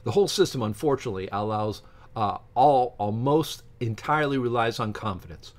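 A man's voice: mid-range voice, lively intonation. Opinionated middle-aged man commenting. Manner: opinionated, halting when reading, often breaking off to comment. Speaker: YouTube science channel host. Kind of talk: informal commentary while reading aloud from a book. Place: small home office with bookshelves, indoors.

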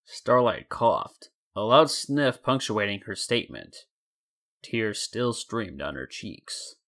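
A man's voice: fairly low pitch, sing-song, slow pace, a bit bright. A man narrating a scripted story in a dramatic reading style. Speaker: narrator and fan fiction reader.

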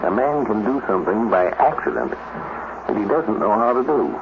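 Animated male vintage radio host. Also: lowish voice, moderate pace, over music. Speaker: program host. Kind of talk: radio narration and commentary. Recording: noisy, tinny 1950s radio recording.

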